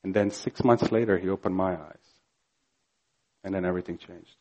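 A man's voice: low-pitched, fairly even intonation, mellow, highs cut off. Earnest Croatian-accented preacher man. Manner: earnest, slow and emphatic, rising to fervent. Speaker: pastor. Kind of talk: sermon.

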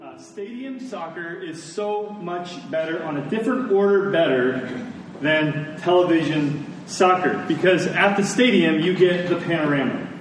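An American man, middle-aged, preaching a sermon in a conversational way, with short deliberate phrases and rhetorical questions. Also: on the high side, animated, soft background music. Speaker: pastor preaching. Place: large church meeting room with congregation. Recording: echoey hall, distant mic, faint noise.

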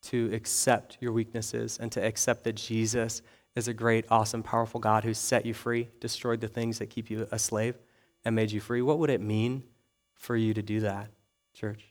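A man's voice: fairly low pitch, level tone, moderate pace. A young adult man preaching to a congregation, conversational and self-deprecating, often checking in with "okay?" and "right?". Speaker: pastor. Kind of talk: sermon.

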